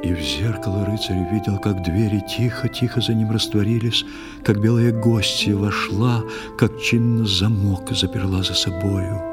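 Low-voiced male narrator over music. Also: steady tone, normal speed. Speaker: narrator of an audio play. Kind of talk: scripted dramatic verse narration.

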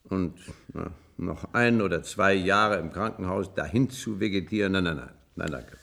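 An actor playing a proud, bitter, ailing old ex-surgeon in a radio play, earnest and stubborn, hesitant then insistent. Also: male voice, low-pitched, moderate pace, gravelly, fairly bright tone, exaggerated voice. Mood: stubborn, grave. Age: older. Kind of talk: German science-fiction radio play acting.

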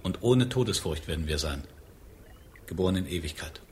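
An actor playing a solemn, pious man who prophesies in slow, incantatory, sermon-like radio drama speech. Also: low voice, lively intonation. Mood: solemn, reverent. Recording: faint background noise.

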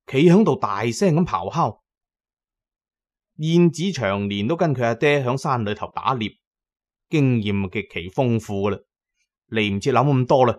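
A man with a Cantonese accent narrating an audiobook as a dramatic storyteller, with colloquial asides. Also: medium pitch.

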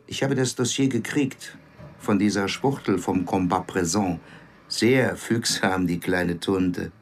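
An actor playing a ruthless, scheming pimp boss in a radio drama, speaking in cold commands. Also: male voice, deep voice, slow pace.

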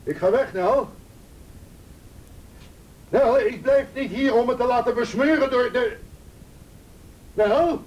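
A man's voice: very high-pitched, slow pace, pauses often, darkish tone, recorded from a distance, low-quality recording. A man playing an angry, desperate, domineering husband in a radio drama, demanding and insistent. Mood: angry, threatening.

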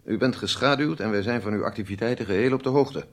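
An actor playing a stern police major in a radio drama, formal, measured and insistent in manner. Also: male voice, on the low side, lightly acted. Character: stern, methodical male police major interrogating a spy.

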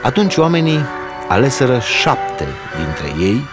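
A man reading a folk tale aloud as a storyteller, in an expressive, dramatic storybook style. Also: mid-range voice, music in the background.

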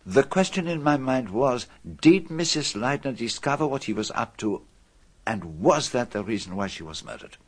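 An actor playing a self-assured, methodical detective in a radio drama, speaking formally with dramatic emphasis. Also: male voice, mid-range voice, expressive, moderate pace, natural voice. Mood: reflective, dramatic. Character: famous male detective, methodical, self-assured, theatrical in revealing a murderer.

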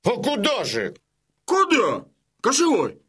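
An actor playing a rough, angry Cossack from a mob in a dramatised audiobook, with a hoarse voice. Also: male voice, very high voice, wildly swooping pitch, slow pace, fairly bright tone, heavily acted. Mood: indignant challenge.

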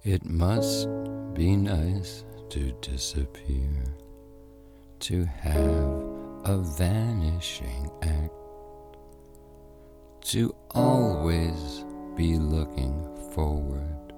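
A man singing a slow, melancholy song accompanied by guitar. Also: rumbling low.

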